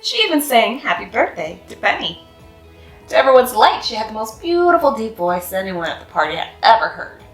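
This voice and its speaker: A woman reading a children's picture book aloud in an expressive, sing-song way. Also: fairly high pitch, brisk. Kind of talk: storybook read-aloud. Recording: echoey room with faint music.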